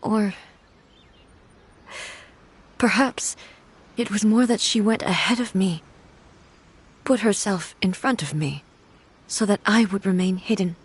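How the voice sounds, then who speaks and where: A woman voicing a solemn, grieving divine ruler in a game, speaking softly and measuredly, often close to a whisper. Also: medium pitch, slow, long pauses, slightly rough. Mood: sorrowful. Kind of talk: game cutscene voice acting.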